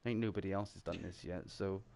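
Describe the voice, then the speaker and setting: A man with a British accent, hosting a casual round-table chat about games, talking in quick bursts, often laughing and filling with "like". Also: sits low, vocal fry. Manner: animated, chatty, laughs often, heavy filler "like". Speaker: streamer and show host.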